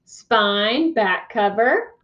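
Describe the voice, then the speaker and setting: A woman teacher reading a picture book aloud to young children, expressive and instructive, pausing to ask questions. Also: medium pitch. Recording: dull, muffled lo-fi sound.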